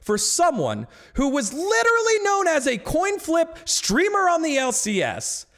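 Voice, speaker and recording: A man's voice: very high voice, wildly swooping pitch, a little slow, fairly bright tone. A man talking casually on a live stream about esports, ranting with growing indignation.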